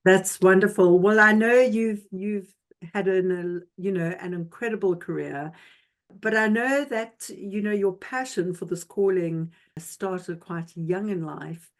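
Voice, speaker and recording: A woman's voice: medium pitch, a little slow. A woman from South Africa hosting a leadership interview podcast, delivering an effusive, emphatic introduction and questions with frequent fillers. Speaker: podcast host.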